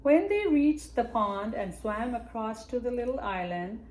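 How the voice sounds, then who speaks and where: A woman reads a children's picture book aloud in an unhurried, storytime manner, pausing now and then to address young listeners. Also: a little high, sing-song, a little mellow. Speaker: library storytime reader.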